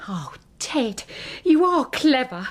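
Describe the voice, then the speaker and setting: An actress playing an anxious, eager-to-please wife in a radio drama, timid and hesitant. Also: female voice, high voice, very animated, slow, heavily acted. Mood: eager, placating.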